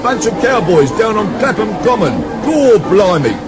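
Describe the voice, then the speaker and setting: A man's voice: sits high, sing-song, normal speed. A man playing a blunt Cockney barman in a Victorian pub, with a London Cockney accent, in a comedy radio play. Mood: gruff. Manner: blunt, mishearing rhyming slang. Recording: radio taped to cassette, music and crowd.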